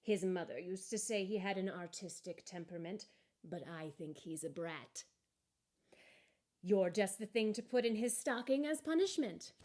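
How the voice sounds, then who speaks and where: A weary storybook man in a Santa costume, acted by a woman reader. He grumbles in a flat, matter-of-fact way, unimpressed by everything. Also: medium pitch, moderate pace, lightly acted. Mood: grumbling. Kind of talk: children's storybook character voice.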